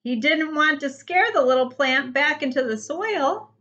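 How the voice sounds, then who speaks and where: Animated, bright-voiced woman reading to children. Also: high voice, measured pace, highs cut off. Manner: animated, emphatic storytelling. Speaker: first grade teacher reading to pupils. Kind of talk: expressive picture-book read-aloud for young children.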